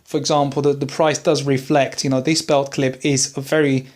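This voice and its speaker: A man's voice: medium pitch, little pitch movement, moderate pace, darkish tone. A man presenting an informal product buying guide as a hobbyist, explaining and advising as he rephrases along the way. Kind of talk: informal product advice video.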